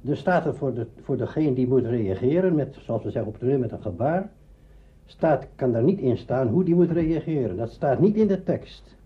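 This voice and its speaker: An older man, a veteran radio actor, reminiscing and explaining deliberately in an interview. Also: mid-range voice, lively intonation. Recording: dull lo-fi archive recording, faint noise.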